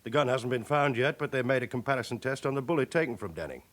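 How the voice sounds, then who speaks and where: An actor playing a cautious, middle-aged British police chief inspector in a radio drama, formal and measured. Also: male voice, mid-range voice, expressive, a bit bright, close to an everyday voice. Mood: stern, measured. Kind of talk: radio crime drama acting.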